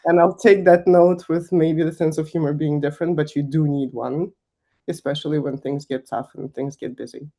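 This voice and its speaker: Candid young woman, warm mellow voice. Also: low-pitched, fairly even intonation, normal speed. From Kazakhstan. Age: young adult. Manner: candid, laughs often, emphatic. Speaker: guest finance executive. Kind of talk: conversational interview answers.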